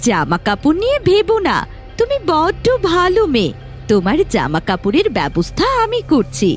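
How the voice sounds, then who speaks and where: Kindly magical forest helper. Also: female voice, high-pitched, huge pitch swings, moderate pace, faint music behind. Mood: caring. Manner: gentle, caring. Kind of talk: cartoon voice acting.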